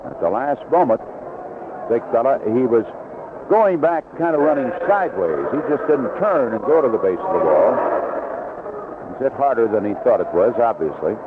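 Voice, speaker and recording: A tinny, narrow old radio broadcast with heavy noise carries a man's baseball play-by-play. His voice is medium in pitch. He describes the field in an unhurried way and lifts his delivery when the ball is in play, in the manner of 1970s sports radio. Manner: unhurried description between plays, rising excitedly on action. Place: outdoor ballpark broadcast booth.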